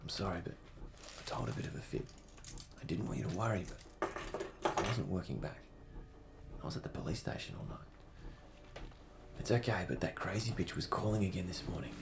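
A weary man played by an actor speaks softly and intimately in a film drama. His voice is very deep and creaky, hushed as he soothes his partner late at night. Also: lively intonation, fairly quick, long pauses, noisy background. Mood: weary, apologetic. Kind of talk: naturalistic film drama dialogue. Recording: close-miked film dialogue.

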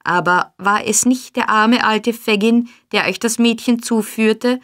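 A woman's voice: on the high side, a little slow, fairly bright tone, pitched above the performer's own voice. A woman reading an audiobook, voicing a scheming old male fence who wheedles and placates. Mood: wheedling. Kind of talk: audiobook character voice.

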